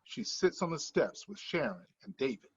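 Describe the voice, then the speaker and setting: A man's voice: fairly high pitch, big pitch swings, moderate pace, breathy edge. A man reading screenplay stage directions as scripted narration. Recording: dull lo-fi sound, music behind.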